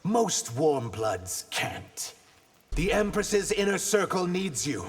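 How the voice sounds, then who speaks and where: Reptilian humanoid, raspy, earnest, guarded, game dialogue, big reverberant space. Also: male voice, high-pitched, big pitch swings, takes it slowly, full character voice. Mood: earnest, wary. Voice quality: husky, raspy. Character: earnest, wary male reptilian humanoid warrior. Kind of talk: video game character dialogue. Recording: long hall-like reverb.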